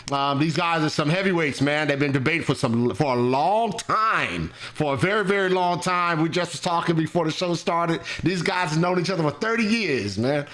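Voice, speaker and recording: A man's voice: a little high, a bit bright. Laid-back male debate host. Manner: laid-back, says "man" often. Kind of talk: casual livestream hosting.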